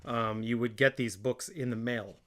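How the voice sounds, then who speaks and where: A man's voice: mid-range voice, fairly even intonation, normal speed, fairly bright tone. Casual, joking male show host. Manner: informal, joking. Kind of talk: casual banter.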